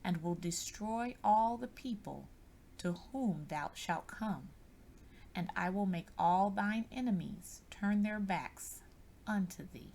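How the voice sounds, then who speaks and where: A woman reads scripture aloud in a solemn, measured and deliberate manner, as a scripted narration. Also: medium pitch, expressive, gravelly, a little mellow. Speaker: scripture reader.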